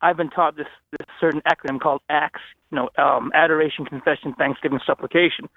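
A fast-talking man on a thin, phone-like line, somewhat high in pitch. He calls in with a question and keeps stopping and restarting, and the sound is dry and boxed in. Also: fairly even intonation, creaky, dry recording. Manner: hesitant, many restarts. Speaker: caller. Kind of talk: call-in radio question.

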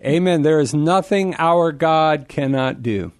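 A man's voice: a little high, a little slow, no echo. A man speaking as a radio show guest, answering callers in an emphatic, exclamatory, affirming way.

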